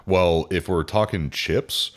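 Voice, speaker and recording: A man co-hosting a casual podcast chat, jokey and quick to laugh. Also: sits low, steady tone. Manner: laughs often, jokey and loud. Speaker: podcast co-host.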